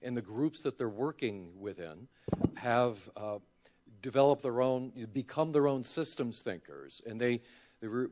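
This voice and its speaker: A man making a halting, thoughtful comment in academic language during a conference discussion. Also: medium pitch. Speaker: audience member, design educator.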